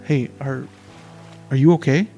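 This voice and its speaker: A man acts a young taxi driver in an amateur radio play, content and soothing. He talks slowly and haltingly at a medium pitch, with faint music behind him. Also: fairly even intonation, creaky, dark tone, muffled recording. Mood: concerned, soothing. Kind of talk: amateur radio theatre acting.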